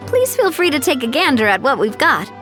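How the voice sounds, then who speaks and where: High, animated, polished young lady. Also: a little fast, faint music behind, full character voice. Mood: apologetic, friendly. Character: gracious young lady event organiser, polished and helpful. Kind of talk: video game voice acting.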